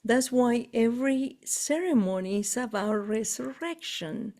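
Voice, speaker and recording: A woman with an Argentine Spanish accent, whose first language is Spanish, speaks slowly in a soft, mellow tone. She talks in a relaxed, halting way, often pausing to search for the right English word. Her delivery stays easygoing and conversational. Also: fairly high pitch. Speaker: spiritual tour guide. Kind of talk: casual conversation.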